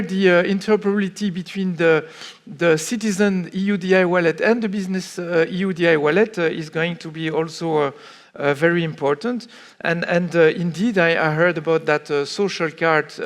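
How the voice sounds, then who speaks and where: A man speaking as a panelist at a conference, answering with many pauses, fillers and restarts. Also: a little high. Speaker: panelist from a public authority.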